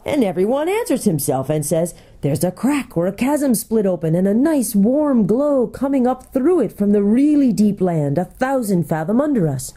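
Woman voicing a squeaky, whimpering little gnome. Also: moderate pace, hardly any pauses. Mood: eager, confiding. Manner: whimpering, deferential, excitable. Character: miserable little gnome, squealing, cringing, eager male creature. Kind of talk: acted audiobook character.

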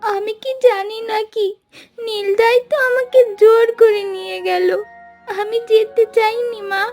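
A woman's voice: very high-pitched, steady tone, unhurried, gravelly, a little mellow, faint music behind, exaggerated voice. A woman voicing a poor, wistful little village girl in a cartoon fairy tale. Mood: sulky, insistent.

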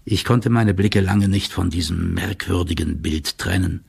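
An actor playing a stout official who reads out a stiff, drily ironic report in an audio drama, in a gravelly, husky, measured voice. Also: male voice, deep voice, exaggerated voice. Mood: uneasy, measured. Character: stout male official giving a stiff, wry written report.

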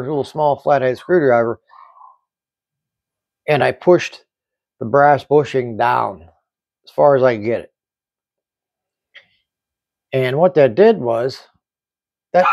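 A middle-aged man giving a casual hands-on hobby review in halting, explanatory short phrases. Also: medium pitch, no echo, dull audio. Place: small indoor hobby workbench.

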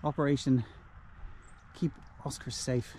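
A man's voice: medium pitch, level tone, a little slow, dark tone, slight background noise. A man in his fifties narrates his fencing work in a cheerful, joking way, filmed outdoors in a rural garden on a handheld camera. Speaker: vlogger. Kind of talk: casual home-renovation vlog. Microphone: action camera held close.

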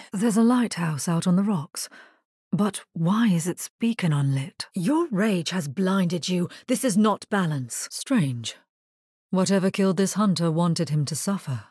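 An actress playing a grave, resolute necromancer in a dark fantasy video game, speaking scripted lines in a measured, husky voice. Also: female voice, on the low side, lively intonation, no echo, clean studio sound. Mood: grim, wary.

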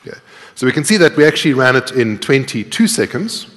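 Lively middle-aged man lecturing in reverberant hall. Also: mid-range voice, fast. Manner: conversational, explains step by step, puts questions to the audience. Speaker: conference speaker and programming instructor. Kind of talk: live coding technical talk to an audience. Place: large tiered lecture auditorium, indoors.

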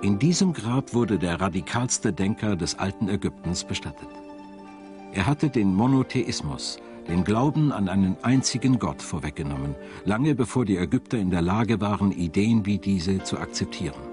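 Low-voiced male documentary narrator over music. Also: animated, normal speed. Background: music behind the voice-over.

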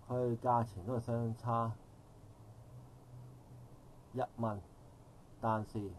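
Lowish-voiced hong kong cantonese guy, middle-aged, rambling on at an outdoor forum, formal words, keeps fixing himself, faint park sounds. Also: slight background noise. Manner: long rambling speech with formal phrasing and self-corrections. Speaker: audience member speaking at a public forum. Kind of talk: impassioned public comment.

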